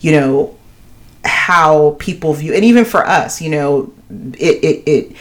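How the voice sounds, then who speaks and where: Deep-voiced lady cohosting a podcast, low and emphatic, lots of "you know". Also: unhurried. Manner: emphatic, animated, many "you know" fillers. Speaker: podcast co-host. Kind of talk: casual chat.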